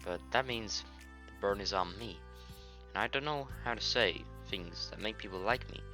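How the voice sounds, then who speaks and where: A young man doing a quiet, gloomy shy girl. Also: fairly low pitch, moderate pace, faint music behind. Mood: timid. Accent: British. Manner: quiet, mumbled, hesitant.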